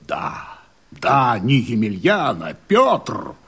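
An actor playing a rough, bloodthirsty rebel follower in a verse radio play, husky and halting, with a tendency to drop toward a whisper. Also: male voice, medium pitch, wildly swooping pitch, background noise, exaggerated voice. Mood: hushed agreement.

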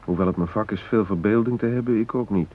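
Deep-voiced, resigned male hostage. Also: fast, husky. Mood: anxious. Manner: reflective, hesitant. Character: resigned, anxious male hostage with wry fatalism. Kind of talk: naturalistic radio drama acting. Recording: noisy, narrow-band old radio recording.

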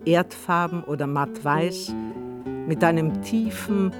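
A man with a fairly high-pitched voice narrates a radio play from a script. Plucked guitar music plays clearly behind him as he tells the story. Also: slow pace.

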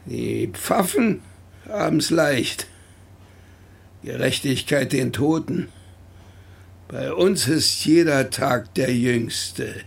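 A man's voice: medium pitch, lively intonation, drawn-out words, gravelly, pauses often, breathy edge, heavily acted. A man playing a sardonic, defiant working-class veteran in a declamatory radio drama monologue. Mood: fierce conviction. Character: embittered, defiant working-class veteran who knows hard life.

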